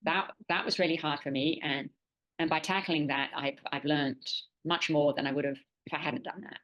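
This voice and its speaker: An older woman reflecting casually as an interview guest, halting and repeating phrases as she thinks. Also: deep voice, steady tone, lo-fi sound. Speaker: interview guest, adult language learner.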